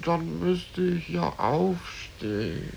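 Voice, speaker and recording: An actor playing a sleepy, bone-idle young son in a 1950s radio drama, groaning and reluctant, on an old, faintly noisy tape. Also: male voice, a little high, expressive, extremely slow, creaky, heavily acted. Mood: sluggish reluctance.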